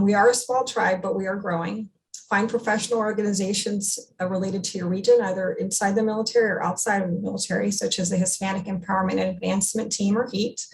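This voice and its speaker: Middle-aged woman, military officer, creaky voice, formal, measured, prepared interview answers. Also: mid-range voice, low-quality recording.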